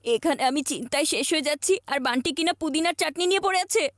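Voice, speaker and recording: A woman's voice: sits high, fast talker, a bit bright, a little hiss or hum. A woman voicing an anxious, scheming schoolboy in a cartoon, fretful and exclamatory, with music behind. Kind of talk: Bengali cartoon dub acting.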